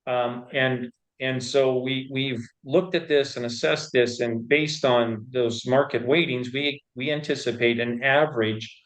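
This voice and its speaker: A man speaks as a company executive being interviewed. His voice sits at an average male pitch. He explains things step by step in halting phrases, often repeating a word before carrying on. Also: fairly even intonation, a bit bright, low-quality recording. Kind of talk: explanatory business interview talk.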